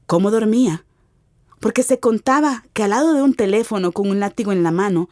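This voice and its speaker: A woman narrates a literary radio drama in a dry, close recording. Her storytelling is theatrical and ominous, set at a medium pitch. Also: lively intonation, fairly quick, dark tone, dry recording.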